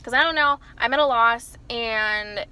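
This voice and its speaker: A woman in her early twenties with a loud voice, talking casually to camera from inside her car and rambling with lots of fillers and restarts. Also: fairly high pitch, measured pace, clean tone, poor audio. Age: early 20s. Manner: rambling, self-interrupting, many fillers like "like" and "you know". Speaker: vlogger. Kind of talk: casual confessional chat to camera.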